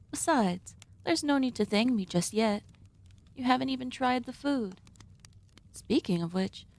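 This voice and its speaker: A woman playing a polite, sinister female cannibal surgeon hosting dinner in an ASMR roleplay, speaking in formal, deliberate phrases. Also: a little high. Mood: courteous, inviting. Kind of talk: ASMR roleplay, scripted monologue addressed to listener.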